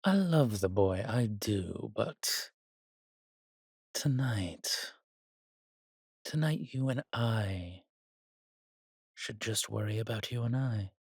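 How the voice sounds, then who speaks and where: Man acting a slow, husky, roguish old vampire. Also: fairly low pitch, lively intonation, darkish tone, no echo, clean studio sound, exaggerated voice. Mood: dismissive, coaxing. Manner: theatrical, archaic turns of phrase, teasing. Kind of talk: intimate roleplay audio drama.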